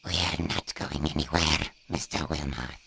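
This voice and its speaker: An actor playing a sinister, commanding alien creature in a radio drama, speaking with a gravelly menace. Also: male voice, fairly even intonation, moderate pace, pushed and bright, exaggerated voice. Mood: menacing. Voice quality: very deep gravelly voice. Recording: faint background noise.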